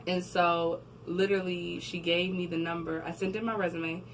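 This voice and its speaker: A young woman from New Jersey, a guest in a casual interview, telling her story with animated quoting and frequent laughter. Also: on the low side, normal speed. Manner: animated storytelling, laughs often, acts out quotes. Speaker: guest, record label marketing admin. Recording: distant mic with background noise.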